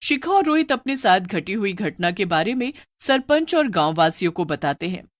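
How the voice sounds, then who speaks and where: A woman's voice: mid-range voice, sing-song, moderate pace, dry recording, studio quality. A woman narrator reading a scripted story in a dramatic storytelling style.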